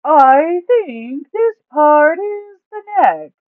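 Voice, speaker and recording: A woman plays a boisterous man from a fantasy storybook, pitched far above the narration. He hollers loudly and drags his words out with gusto. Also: sing-song, no echo, phone-quality sound, full character voice. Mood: loud, excited. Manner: drawn-out, hollering delivery. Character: boisterous loud male adventurer with a trident.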